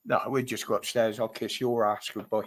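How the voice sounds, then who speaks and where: A man's voice: fairly low pitch, little pitch movement, moderate pace, slight background noise. A British man hosting a casual livestream chat, his nose blocked up, chatty and greeting viewers by name with frequent chuckles. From United Kingdom. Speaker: livestream host.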